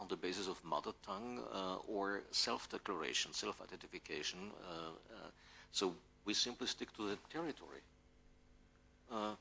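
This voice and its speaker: Deep, gravelly male academic, halting delivery. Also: sharp tone, slight background noise. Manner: halting, repeats words, tags phrases with "yeah". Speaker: academic panel speaker, legal scholar. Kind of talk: academic answer to questions. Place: university conference hall.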